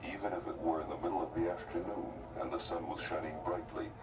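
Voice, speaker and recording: A man's voice: deep voice, quick pace. A man narrating a fairy tale for a stage play in a theatrical storybook style. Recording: very noisy, distant stage recording.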